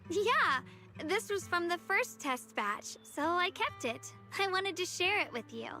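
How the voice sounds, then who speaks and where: An actress playing a sweet, caring young woman in an anime-style video game, speaking in a gentle, earnest and slightly hesitant way. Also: very high-pitched, big pitch swings, unhurried, heavily acted. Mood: tender, happy. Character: sweet, caring young woman who bakes, shyly wistful. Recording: background music under voice.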